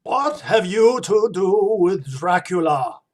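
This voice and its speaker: Man acting a slow-speaking, grave vampire hunter. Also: sits high, animated, a little mellow, heavily acted. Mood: stern. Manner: solemn, insistent, formal. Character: grave, commanding male vampire-hunting professor. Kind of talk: radio play acting.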